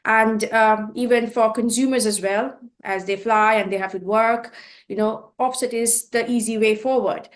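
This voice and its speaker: Brief: woman host, fairly high voice, polite and searching, with plenty of ums. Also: steady tone, moderate pace. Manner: polite, searching, with many fillers. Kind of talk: interview questions.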